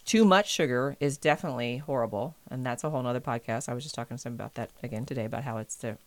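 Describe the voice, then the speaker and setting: A woman's voice: a bass voice, rapid-fire, dry recording. A woman on a podcast, talking about health in a casual, emphatic and insistent way. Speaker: podcast co-host. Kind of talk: casual chat.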